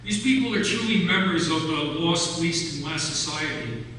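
Older man, preacher. Bright, forceful voice, measured sermon delivery, heard from far off in a reverberant church. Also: a little high. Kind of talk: sermon, telling stories from notes. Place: large church sanctuary, at the pulpit. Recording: echoey church hall from far off, hum.

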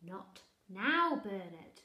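A woman's voice: mid-range voice, very animated, very slow, sharp tone, roomy sound, heavily acted. A woman voicing a weary, dismissive parent in a children's picture book, exasperated and emphatic.

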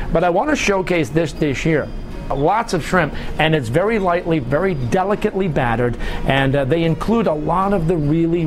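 A middle-aged man hosting a food show, chatty and enthusiastic, with lots of filler words. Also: a little high. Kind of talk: upbeat restaurant show presenting. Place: restaurant dining room, then TV studio set.

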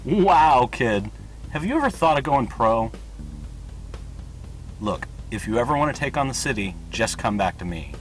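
An actor playing an eager, chummy middle-aged car mechanic, earnest, in a comic game cutscene. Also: male voice, medium pitch, sing-song, normal speed, long pauses, faint music behind, natural voice, low-quality recording.

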